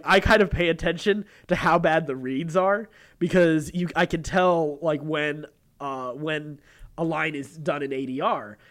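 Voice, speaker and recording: Higher-voiced man, halting podcast chat. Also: fairly bright tone. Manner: chuckling, halting, digressive. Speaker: podcast co-host. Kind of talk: casual chat about TV and voice acting.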